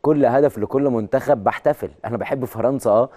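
An Egyptian man in his thirties delivers a sports news monologue with a hoarse, mellow voice. He talks emphatically and pushes his pitch up on key words. He speaks standing in a large TV studio on a lapel mic. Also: quick pace. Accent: Egyptian Arabic. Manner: emphatic, rising to excited peaks on key words. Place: large TV studio with video wall.

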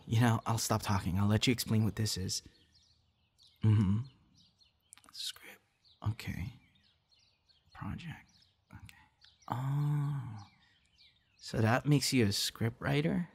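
A man playing a friendly, shy young budding voice actor chatting casually with a stranger in an ASMR roleplay, hesitant and softly teasing. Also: medium pitch, husky. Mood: impressed, friendly, then quietly curious. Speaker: audio roleplay performer. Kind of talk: ASMR roleplay, casual one-sided conversation.

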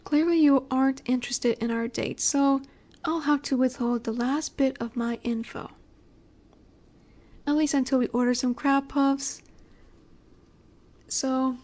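A woman playing a flirty, confident female mafia boss in a roleplay audio drama, coy and teasing with breathy asides. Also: sits high, unhurried, long pauses, a little mellow. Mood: coy, flirtatious.

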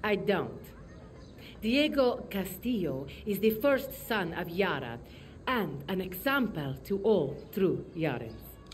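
An actress playing a poised, haughty government minister in a video game, with a Latin American Spanish accent and a polished, imperious delivery. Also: female voice, on the low side, sing-song, slow, slight background noise. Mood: poised, formal. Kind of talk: video game cutscene acting, press announcement.

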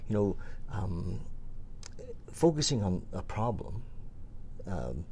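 Low, mellow-voiced middle-aged man. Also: sing-song, slow pace, gravelly, slight background noise. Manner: rambling, many restarts and fillers. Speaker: host. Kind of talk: casual interview chat. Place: small shop room with shelves, indoors.

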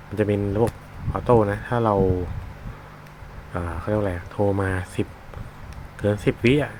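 A man with a fairly low voice talks through a product demonstration in an informal, unscripted way. He explains step by step, often pausing to find the words. There is clear noise behind him, with vehicle sounds. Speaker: product reviewer demonstrating a gadget.

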